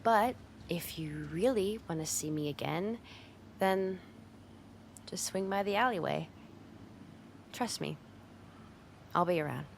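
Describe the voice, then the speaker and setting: Woman acting a brash tsundere delinquent, noisy background. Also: on the low side. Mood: flustered, guarded. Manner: blunt, informal pep talk with hesitant, halting asides. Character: brash tsundere delinquent young woman, gruff but secretly caring. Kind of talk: ASMR roleplay acting, speaking directly to the listener. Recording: clear background noise, outdoor street ambience.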